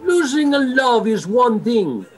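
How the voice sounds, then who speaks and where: Man acting a high-pitched, courteous charmer. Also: very animated, slow pace, soft background music, full character voice, low-quality recording. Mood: gentle, curious. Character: courteous, flattering French nightclub regular, Pierre. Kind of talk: hobby radio play acting.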